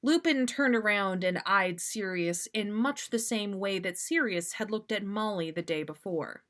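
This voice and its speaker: A woman's voice: mid-range voice, measured pace, fairly bright tone. A woman reading a story aloud expressively as the host of a story session. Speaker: podcast host reading listener fan fiction.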